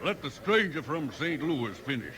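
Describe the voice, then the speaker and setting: An actor playing a folksy, mildly officious small-town mayor with a homespun drawl in a 1950s radio western. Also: male voice, fairly high pitch, very animated, normal speed. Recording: noisy old radio recording.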